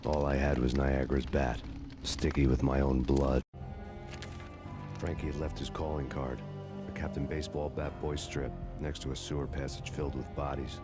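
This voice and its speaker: An actor playing a weary, bitter cop in a video game, narrating in a sardonic, brooding, hardboiled noir style. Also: male voice, very deep voice, moderate pace. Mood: brooding, deadpan. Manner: deadpan, brooding. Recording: loud music underneath.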